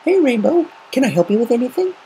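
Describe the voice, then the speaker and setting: A man voicing an earnest, caring young female unicorn in a story reading, gentle and explanatory. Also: very high voice, very animated, fast talker, pitched above the performer's own voice, heavily acted. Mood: bright, friendly. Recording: noisy amateur home recording.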